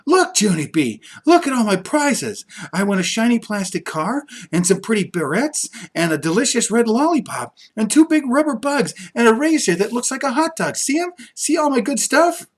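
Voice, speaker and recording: Man acting a little girl, storybook character, very high voice, bubbly, boastful, hollering. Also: sing-song, moderate pace, hardly any pauses, full character voice. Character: excitable, boastful little girl, best friend.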